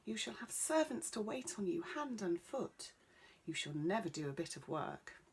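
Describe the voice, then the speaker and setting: A woman's voice: medium pitch, big pitch swings, moderate pace, slight background noise. Bedtime-story prince, played by a woman: young, proud, imperious, lovestruck, given to exclaiming. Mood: pleading. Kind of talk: bedtime storybook character reading.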